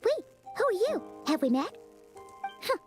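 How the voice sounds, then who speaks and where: A tiny childlike fairy companion for an animated game. The voice is very high and squeaky, with lively swoops and chirpy, expressive chatter. Soft music plays underneath. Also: female voice, unhurried, exaggerated voice. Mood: curious, puzzled. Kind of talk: animated game character acting.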